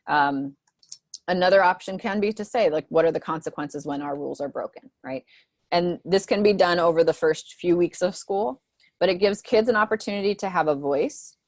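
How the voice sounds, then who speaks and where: A woman's voice: lowish voice, dry recording. A woman presenting to teachers on a mentoring video call, talking conversationally with frequent "um" and "right?" asides.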